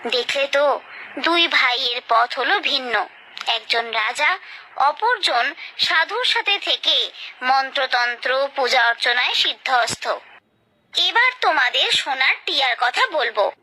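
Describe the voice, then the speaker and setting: A woman's voice: sits high, lively intonation, a little slow, sharp tone, rough recording. A woman narrating a scripted children's story, expressively and directly addressing her young listeners.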